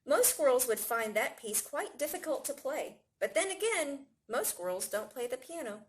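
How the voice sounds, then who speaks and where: Animated woman reading a children's story. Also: on the high side, a little hiss or hum, poor audio. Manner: expressive, storytelling to young children. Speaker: library staff storytime reader. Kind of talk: children's picture book read-aloud.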